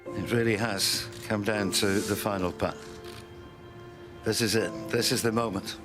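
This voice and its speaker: A man commentating live golf in a hushed voice, building suspense toward the final putt. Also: lowish voice, steady tone, measured pace, over music.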